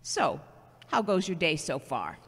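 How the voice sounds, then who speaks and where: A woman playing a loving, gently scolding seventy-year-old mother in an audio drama, earnest and halting. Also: low voice, very animated, breathy edge, full character voice. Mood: gently bossy. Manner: earnest, sermonizing, halting delivery. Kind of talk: amateur audio drama acting. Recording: long hollow reverb.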